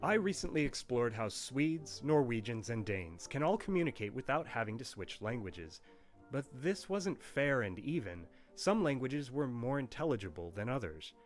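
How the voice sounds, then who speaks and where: A man reading a scripted narration with a wry, playful touch, his pitch moving in animated swings. Soft guitar music runs faintly behind him. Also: normal speed. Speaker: narrator of a language history video.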